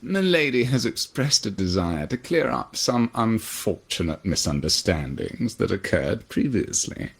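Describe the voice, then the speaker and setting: A man's voice: fairly low pitch, sing-song, unhurried, gravelly, hardly any pauses, slightly rough, heavily acted. An actor playing a courteous butler to a noble lady in a video game, speaking with formal, deferential polish.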